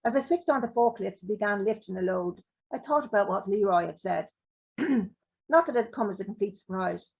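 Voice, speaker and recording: A woman reading aloud as a blunt, sweary working-class man who drives a forklift, in her own husky voice. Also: mid-range voice, animated, moderate pace, muffled recording. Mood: gruff, blunt. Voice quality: rough, sweary talk.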